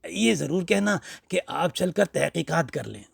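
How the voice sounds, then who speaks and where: Storybook role read by a man: boastful, flattering old villager, slow and rough-voiced, pleading with familiar emphasis. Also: a little high, dry recording, clean studio sound, voice pushed up, full character voice. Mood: insistent, pleased. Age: older.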